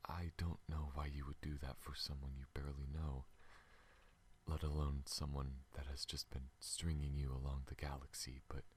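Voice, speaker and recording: A man playing a tall, wounded alien pilot in an ASMR audio drama, speaking haltingly and softly in a weakened, breathy voice that often drops to a whisper. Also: flat delivery, a little hiss or hum. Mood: earnest, guilty. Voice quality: deep, husky, breathy, often dropping to a whisper. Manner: halting, soft-spoken, hesitant. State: weak, recovering from injury.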